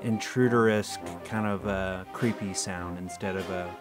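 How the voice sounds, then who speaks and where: A man's voice: sits low, fairly even intonation, moderate pace. A man, an audio editor, giving casual, rambling behind-the-scenes commentary in an informal way. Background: music.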